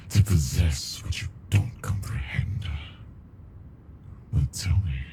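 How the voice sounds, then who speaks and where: Extremely deep, gravelly alien captive. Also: male voice, mellow, slight background noise, full character voice. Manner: slow, menacing, condescending, breathy murmuring. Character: captive male alien, ancient, arrogant, amused predator toying with humans. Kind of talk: ASMR monster roleplay, scripted monologue.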